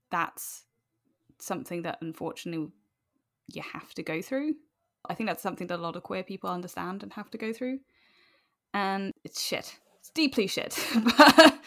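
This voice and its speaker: A British woman in her late twenties talking candidly and informally as an interview guest, with an animated, emphatic manner. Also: mid-range voice. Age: late 20s. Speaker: podcast guest, writer.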